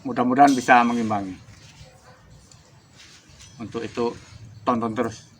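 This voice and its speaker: A man with an Indonesian accent hosting a bird-breeding channel, presenting in an energetic, emphatic announcer style. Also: mid-range voice, moderate pace, a little hiss or hum. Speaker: host.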